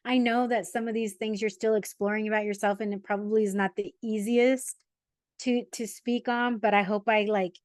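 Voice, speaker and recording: Lively woman podcast host, dry sound. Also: mid-range voice, moderate pace, dry recording. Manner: lively storyteller, mixes in Spanish words. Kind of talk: casual interview chat.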